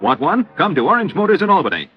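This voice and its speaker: A man's voice: high voice, sing-song, fast. An American man reading a vintage radio car commercial as an announcer. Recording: dull old radio recording with music.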